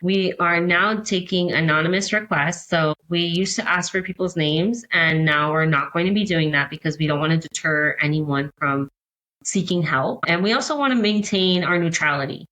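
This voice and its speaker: Slightly low-pitched American woman explaining. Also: normal speed, fairly bright tone, poor audio. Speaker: podcast guest, court-watch advocate. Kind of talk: conversational explanatory interview talk.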